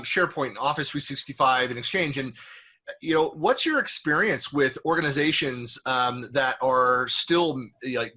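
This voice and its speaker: A man's voice: medium pitch, sharp tone, breathy edge. A man hosting a casual interview over a video call, chatty and rambling, with frequent restarts. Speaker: host.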